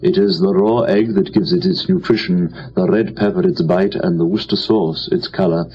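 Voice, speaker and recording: A man's voice: low-pitched, animated, moderate pace, pitched below the performer's own voice. A man voicing a deferential, composed valet in a comic story, speaking formally and politely. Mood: unruffled, deferential. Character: deferential, composed English valet, smooth and respectful. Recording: dull lo-fi with faint noise.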